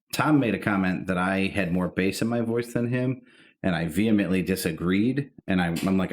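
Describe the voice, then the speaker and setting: A man co-hosting a casual comedy podcast, joking loosely with his co-host and teasing as he reads listener mail. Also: low-pitched. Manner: rhyming roast intro, then loose joking chat.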